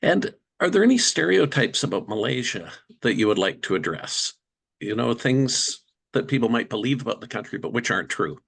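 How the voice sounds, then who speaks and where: A man interviewing a guest, asking long, polite questions at a measured pace. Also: mid-range voice, big pitch swings, gravelly, a bit bright, husky.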